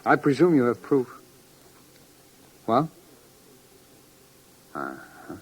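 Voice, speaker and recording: A man playing an unruffled, measured and coolly polite crime suspect in a 1950s radio drama. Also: mid-range voice, big pitch swings, heavily acted. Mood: cool, evasive. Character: smooth-talking, unruffled male suspected syndicate gambler. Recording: noisy old radio recording.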